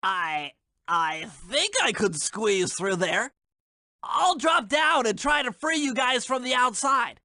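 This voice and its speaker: A small, earnest turtle boffin in a video game. The voice is high, pinched and piercingly bright, with fussy, bookish, precise phrasing. It sounds close and dry. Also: male voice, lively intonation, slow, dry recording, heavily acted. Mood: earnest, scheming. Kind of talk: video game character voice acting.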